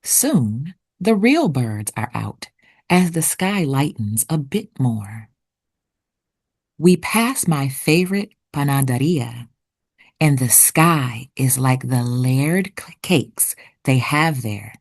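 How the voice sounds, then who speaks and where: A woman reading a children's picture book aloud expressively, with deliberate storytelling pauses. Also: a bass voice, sing-song, darkish tone, slightly rough. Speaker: children's read-aloud narrator.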